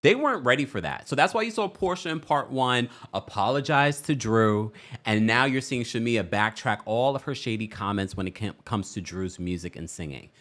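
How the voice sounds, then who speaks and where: A man hosting a casual celebrity news stream, chatty and animated, with a deep voice and frequent laughs. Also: normal speed. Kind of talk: casual commentary livestream.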